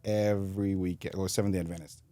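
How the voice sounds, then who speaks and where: A man's voice: low voice, flat delivery, quick pace, mellow, dry recording. A man in his thirties from Zambia and South Africa, telling personal stories casually and jokingly as a podcast guest. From Zambia and South Africa, moved to the US in high school. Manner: informal, joking, frequent "like". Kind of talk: casual conversational storytelling.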